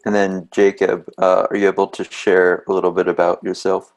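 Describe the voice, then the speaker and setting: A man's voice: sits low, little pitch movement, moderate pace. A man in his twenties moderating a webinar, speaking hesitantly with ums and uhs. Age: 20s.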